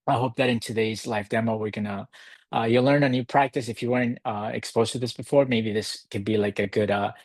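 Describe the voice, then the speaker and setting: A man from Ecuador leads a live online workshop from home, speaking over a video call in an easygoing, halting manner with plenty of ums and uhs. His voice sits at an ordinary middle pitch for a man. The sound is dry and close, with no room echo. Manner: relaxed, halting, many fillers. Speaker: workshop host. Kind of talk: live virtual workshop demo. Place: home in a city. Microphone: computer mic on a video call.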